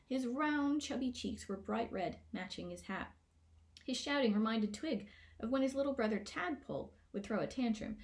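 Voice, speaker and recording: A woman narrating a scripted children's fantasy story in a playful, expressive way, as an author reading aloud. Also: medium pitch, vocal fry. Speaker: author reading own book.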